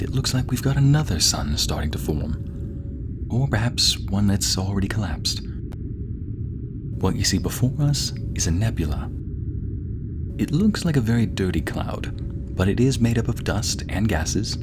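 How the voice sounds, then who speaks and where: Guy doing a polite american ship ai, low calm voice, measured asmr delivery, engine rumble humming behind. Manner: polite, measured, formal announcements with gentle explanations. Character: courteous, soothing male spaceship AI assistant, eager fact-sharer. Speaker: ship's AI guide and narrator. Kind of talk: ASMR roleplay, scripted narration. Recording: steady rumbling spaceship ambience behind voice. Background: low engine rumble ambience.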